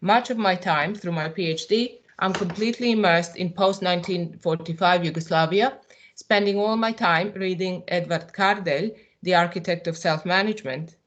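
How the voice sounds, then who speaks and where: A woman with a Serbian accent reads a personal talk aloud to a symposium. Her voice sits a little low, and she keeps a measured, reflective pace. Now and then she hesitates on an um or a restart. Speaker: artist presenting at a symposium. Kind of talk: reading a prepared personal talk.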